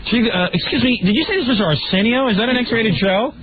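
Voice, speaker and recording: Man as meek, naive struggling actor for radio sketch, high swooping puzzled voice, noisy thin broadcast sound. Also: lively intonation, fairly quick, phone-quality sound. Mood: bewildered. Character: naive, bewildered, desperate male actor at audition.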